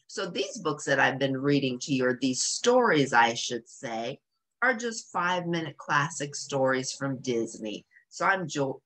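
A middle-aged woman reading aloud to children in a small home office, expressive, with drawn-out emphasis. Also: deep voice. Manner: animated, drawn-out emphasis, asks listeners questions. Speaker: storyteller, channel host.

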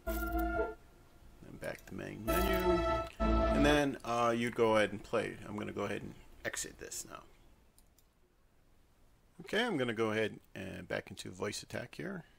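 A man giving an unscripted screen-recorded software tutorial, hesitating often as he explains each step. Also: fairly low pitch, very animated, a little slow, gravelly, long pauses, soft background music.

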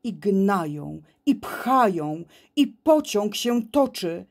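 A woman giving an expressive, theatrical recitation of children's poetry, with deliberate phrasing and dramatic pauses. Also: medium pitch. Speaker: poem reader.

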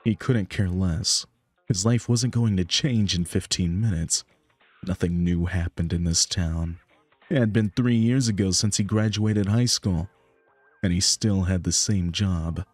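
A man's voice: sits low, unhurried, a little mellow, dry recording. A man reading scripted story narration for a visual novel. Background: background music.

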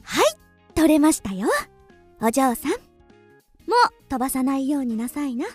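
A woman's voice: sits high, moderate pace, darkish tone, soft background music, lightly acted. An actress playing a plucky young country maid in a radio drama, polite, girlish and confident. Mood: delighted.

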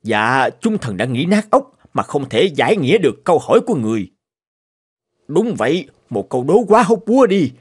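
An actor playing an anxious, obsequious royal minister in a fairy-tale cartoon. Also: male voice, a little high, sing-song, moderate pace, breathy edge. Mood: baffled, fawning. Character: flustered, fawning royal court ministers. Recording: music behind the voice.

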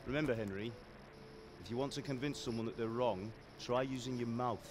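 A man's voice: mid-range voice, fairly quick, background noise, lightly acted. An actor playing a stern, proud middle-aged blacksmith father in a video game, plain-spoken and admonishing. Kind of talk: video game cutscene acting. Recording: game music behind the voice.

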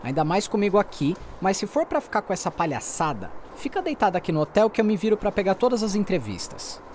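A man's voice: high-pitched, sing-song, fast talker, a little mellow, a little hiss or hum. A man playing an exasperated, nagging journalist partner who scolds his colleague in an audio drama. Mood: annoyed.

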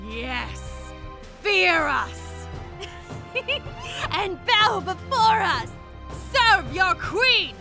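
A woman's voice: extremely high pitch, very animated, creaky, over music, exaggerated voice. A woman voicing a haughty, cruel three-headed space dragon queen with theatrical, imperious delivery in a cartoon comic dub. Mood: gloating, menacing. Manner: imperious, theatrical, slow declaiming.

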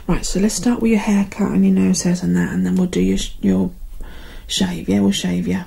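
A woman in her thirties speaking softly and gently in a casual ASMR roleplay as a barber, often close to a whisper, near the mic at home. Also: fairly low pitch, fairly even intonation, gravelly, slight background noise. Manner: gentle, unhurried, casual one-sided chat. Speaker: ASMR performer playing a barber. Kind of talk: soft-spoken ASMR personal-attention roleplay. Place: living room at home.

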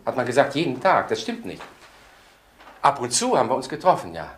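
An actor playing a defensive, self-important male judge, halting and evasive, in a German radio crime drama. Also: medium pitch, wildly swooping pitch. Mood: evasive, nervous. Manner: evasive, halting, repeats questions indignantly. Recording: roomy, faintly noisy radio recording.

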